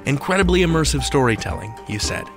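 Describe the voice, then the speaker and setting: A man's voice: medium pitch, big pitch swings, fast, vocal fry, faint music behind. An American man hosting a podcast countdown with playful, theatrical scripted narration. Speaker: podcast host.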